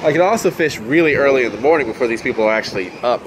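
A man's voice: mid-range voice, expressive, very fast, background noise. A young man in his twenties with a Southern US accent, vlogging to a handheld camera on vacation, chatty and amazed. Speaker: fishing vlogger. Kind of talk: casual vlog talk to camera. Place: condo balcony, beach and big store. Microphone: handheld camera at arm's length.

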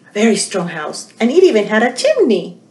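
A woman in her forties presenting storytime for young children, animated and full of questions to them. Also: medium pitch, a little fast. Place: small indoor room. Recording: roomy home recording.

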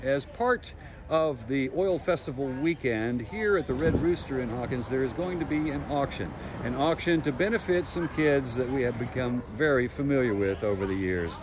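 A man's voice: mid-range voice, animated, moderate pace. A man, a local TV reporter doing a casual on-location interview. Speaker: TV news reporter. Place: outdoors beside an event tent. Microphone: phone at arm's length. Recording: outdoor ambient noise, vehicles.

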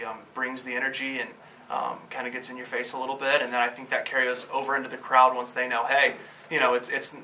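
A male head coach in his thirties giving a quick, upbeat post-game interview, talking fluently with a few fillers. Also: medium pitch, bright, forceful tone. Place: press backdrop room. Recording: slightly distant, faint room noise.

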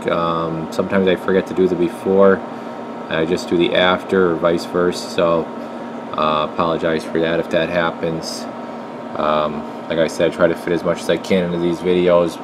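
A man giving casual hands-on repair commentary as a technician and video host, thinking aloud while he works. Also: sits low. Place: indoor electronics workshop bench. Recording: noisy workshop with steady background hum.

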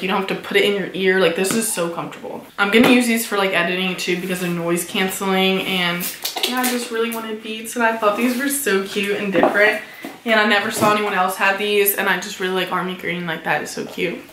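A young woman in her late teens chats through a haul video, peppering her speech with "like". Her voice is a little hoarse from a cold. The sound is roomy, with a faint hiss behind her. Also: medium pitch, fairly even intonation, fairly quick. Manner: chatty, many "like" fillers, upbeat about purchases. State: recovering from a cold, somewhat hoarse. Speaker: vlogger. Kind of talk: casual haul vlog.

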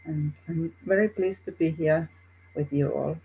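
A woman's voice: sits low, fairly even intonation, unhurried, slightly rough, phone-quality sound. A woman, a peer specialist, introducing herself carefully on a video call. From Finland.

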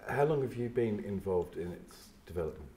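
A man's voice: on the low side, expressive, a little fast, dark tone. A man in his fifties asking questions as a casual interviewer, seated in a small room. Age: 50s. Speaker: interviewer, literary manager. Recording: roomy sound, faint background noise.

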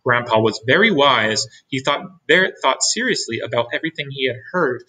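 A middle-aged man giving an expressive read-aloud of a children's storybook. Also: medium pitch, moderate pace, breathy edge, low-quality recording. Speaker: storybook reader.

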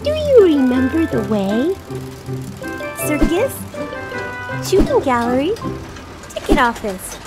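A very high-voiced little cartoon girl talks to young viewers with cheerful curiosity. Her delivery is lively and swooping, kind and questioning, over light background music. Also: heavily acted. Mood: curious, gentle. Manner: slow, clearly enunciated, questioning, exclaiming. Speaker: host character of a children's cartoon. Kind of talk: children's cartoon narration to viewers.

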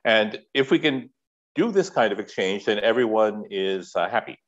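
An older man delivers an academic talk on a video call, his low voice coming through a headset. The audio sounds dull and lo-fi. He speaks in a measured way, pausing often and clearing his throat. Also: animated, fairly bright tone. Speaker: keynote speaker. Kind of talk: academic lecture. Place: home office, indoors.

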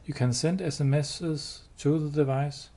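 A man giving a hands-on product demo indoors, explaining step by step in a halting, matter-of-fact way. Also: a little high, fairly even intonation, dark tone. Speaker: product demonstrator. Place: indoors, showroom with wall-mounted devices. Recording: clear background noise.

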